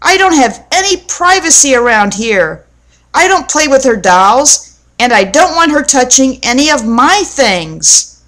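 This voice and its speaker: A woman's voice: sits high, big pitch swings, measured pace, a bit bright, voice pushed up, exaggerated voice. A woman as an indignant, bossy young boy. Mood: angry shouting. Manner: indignant, demanding. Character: angry, bossy young boy, a Cub Scout brother. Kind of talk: storybook character voice.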